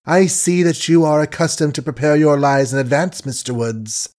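A man's voice: on the high side, moderate pace, a little mellow, lightly acted. A man voicing a young woman who mocks with sweet, suave sarcasm in an audiobook reading. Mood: sweetly mocking. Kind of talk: audiobook character voice.

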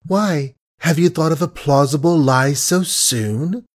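A man's voice: on the high side, animated, measured pace, a little mellow. A man voicing a young woman who mocks with sweet, suave sarcasm in an audiobook reading. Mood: sweetly mocking. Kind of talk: audiobook character voice.

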